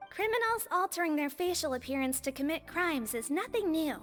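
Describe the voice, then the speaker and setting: An actress voicing a precise, dutiful investigator in a video game, formal and matter-of-fact. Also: female voice, high-pitched, expressive, a little fast, fairly bright tone, soft background music. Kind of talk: scripted game dialogue.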